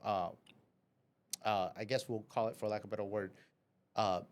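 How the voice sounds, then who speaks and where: A man's voice: fairly low pitch, steady tone, normal speed. A man from Vietnam, a café owner, telling his life story as a podcast guest in a casual, filler-heavy way, often ending with "right?". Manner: chatty, many fillers and "right?".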